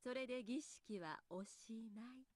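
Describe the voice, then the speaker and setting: A woman's voice: on the high side, brisk, a little mellow, no echo, natural voice. An actress playing a graceful, smugly amused woman who explains gruesome lore in a teasing way, in Japanese visual novel style. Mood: amused, macabre. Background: game music.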